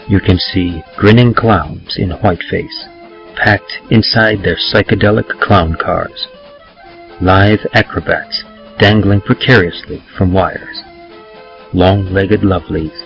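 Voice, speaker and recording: Deep-voiced man reading horror, music underneath. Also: vocal fry. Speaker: podcast host and reader. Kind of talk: dramatic horror poetry reading. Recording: slightly muffled lo-fi recording.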